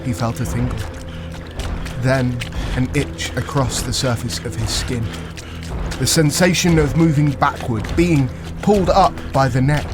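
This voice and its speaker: A man narrating a dark fantasy audio story in a deliberate, suspenseful storytelling style. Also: mid-range voice. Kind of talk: dramatic scripted fantasy narration.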